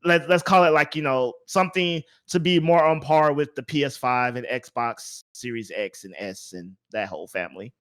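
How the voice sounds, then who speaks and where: A man's voice: mid-range voice, moderate pace, few pauses, fairly bright tone. A man hosting a casual video game podcast, thinking aloud in a rambling way and repeating his words. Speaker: podcast host.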